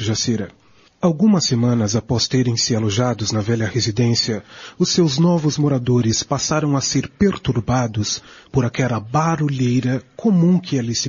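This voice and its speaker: Radio drama narrator: Brazilian man, very rough husky voice, lo-fi sound. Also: medium pitch, darkish tone. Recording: dull lo-fi radio recording.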